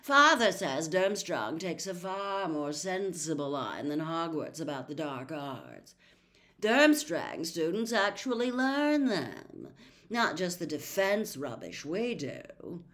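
A woman's voice: lowish voice, very animated, slow, fairly bright tone, exaggerated voice. A woman voicing a sneering, snobbish teenage schoolboy who drawls, in a storybook reading.

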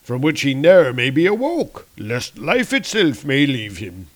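A man's voice: mid-range voice, huge pitch swings, a little slow, voice pushed up. A man voicing a rotund, boastful but cowardly warrior in a comic-book story, blustering in archaic speech. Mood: blustering worry.

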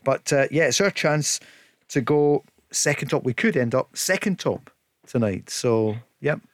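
A man hosting a football radio show, brisk and jokey, introducing callers. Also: medium pitch, animated, no room sound. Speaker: radio host. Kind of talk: sports radio talk.

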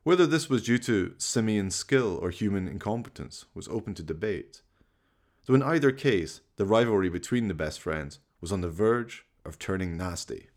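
A man's voice: sits low, animated, moderate pace. A man giving theatrical, wry scripted narration for a horror radio play. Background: music.

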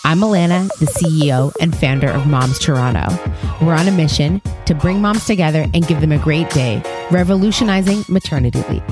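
A woman's voice: deep voice, fairly quick, music in the background. A woman hosting a podcast in a bubbly, playful way, with sing-song greetings and casual swearing. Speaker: podcast host and founder.

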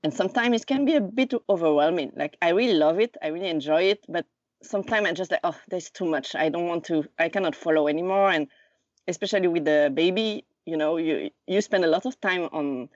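Low-voiced Swiss French woman, hesitant. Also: no echo. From Lausanne, Switzerland. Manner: halting, searching for words, many fillers. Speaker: podcast guest. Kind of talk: casual conversation. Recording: dull, dry remote recording.

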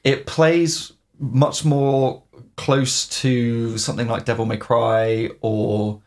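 A man with a rough, gravelly tone co-hosts a casual podcast. He talks in a hesitant way, often stopping on "um" to gather his thoughts. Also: medium pitch, flat delivery, darkish tone. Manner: hesitant, filling pauses with "um". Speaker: podcast co-host. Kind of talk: casual chat about video games.

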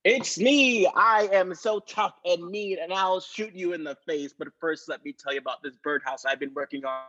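A man puts on a tough crime boss with a high-set voice. He blusters meanly, then drifts earnestly into hobby talk. It is improvised radio comedy, with a dull, lo-fi sound. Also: normal speed, fairly bright tone. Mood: menacing, sidetracked. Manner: blustering, easily sidetracked, earnest about hobbies. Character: tough mean male crime boss who adores birdhouses. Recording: dull lo-fi audio.

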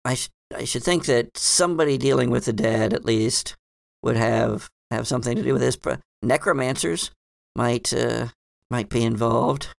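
Scholarly librarian woman, acted by a man, formal, halting, professorial, creaky, tabletop roleplay. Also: mid-range voice, dry recording, clean studio sound. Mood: pondering. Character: scholarly, hesitant female librarian, musing and proper.

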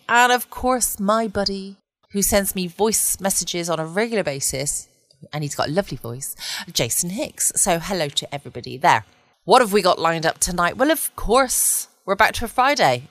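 Low-pitched woman, chatty podcast host. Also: fairly bright tone, no room sound. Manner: chatty, rambling asides. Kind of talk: casual late-night chat.

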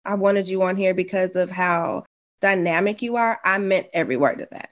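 A woman's voice: fairly low pitch, normal speed. A woman hosting a podcast interview, affirming and effusive, laughing often with her guest. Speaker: podcast host. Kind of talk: casual interview chat. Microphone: podcast mic.

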